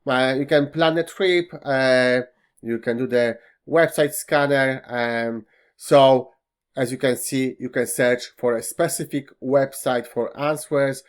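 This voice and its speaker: A man whose first language is Polish walks through a web browser's features in a screen-recorded tutorial, pausing often with fillers and slightly non-native phrasing. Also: mid-range voice, steady tone, clean tone. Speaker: tech tutorial host. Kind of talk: screen-recorded software walkthrough.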